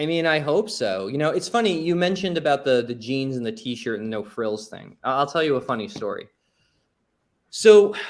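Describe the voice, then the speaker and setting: A man speaking as an interview guest and musician, chatting casually in an informal, self-deprecating way. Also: mid-range voice. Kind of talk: casual conversational storytelling.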